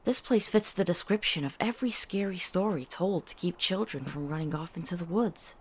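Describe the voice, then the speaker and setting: A woman's voice: on the low side, fairly quick, slight background noise, lightly acted. A woman playing a curious, skeptical young apprentice apothecary in a visual novel, with a wry, questioning manner. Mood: sardonic.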